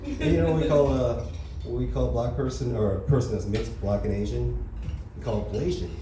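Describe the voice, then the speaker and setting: A man's voice: mid-range voice, brisk. A young man doing open mic stand-up, crude and casual, tagging lines with "you know" and "right". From Los Angeles area. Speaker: stand-up comedian. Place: comedy club stage, heard from far off. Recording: echoey room, distant mic, audible noise.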